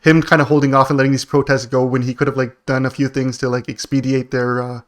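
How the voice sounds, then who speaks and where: A man's voice: mid-range voice, little pitch movement, fast talker. A man co-hosting a podcast, chatting casually about politics in a slangy, joking way. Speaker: podcast co-host.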